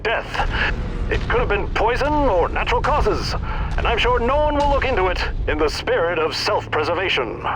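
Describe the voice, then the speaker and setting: Showy, animated sardonic arena commentator. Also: male voice, sits high, normal speed. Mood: sly showmanship. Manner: showy, sarcastic. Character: flamboyant sardonic male arena-combat sports commentator. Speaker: sports commentator. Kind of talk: theatrical play-by-play commentary. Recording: loud music, battle noise behind. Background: loud music, artillery and vehicle sounds.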